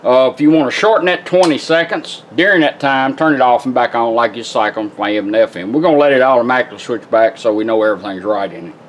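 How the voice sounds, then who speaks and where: A man's voice: mid-range voice, fast, hardly any pauses, slight background noise. A man giving a casual, folksy product demonstration in plain-spoken words. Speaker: radio restorer demonstrating a product. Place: indoor workbench demonstration. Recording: radio and music playing behind. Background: car radio broadcasts and music.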